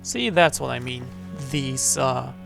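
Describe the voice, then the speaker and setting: A man's voice: mid-range voice, expressive, slow, vocal fry. A man giving casual livestream commentary on a game, rephrasing himself as he goes. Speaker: game streamer. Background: faint game music.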